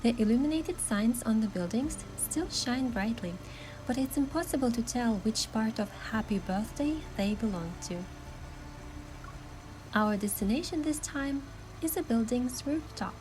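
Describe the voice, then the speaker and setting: A woman's voice: fairly high pitch, expressive, measured pace, darkish tone, slight background noise, rough recording. A woman reading a scripted first-person story as narrator, with acted lines of dialogue. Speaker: narrator and voice dubber of a game story. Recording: music behind the voice.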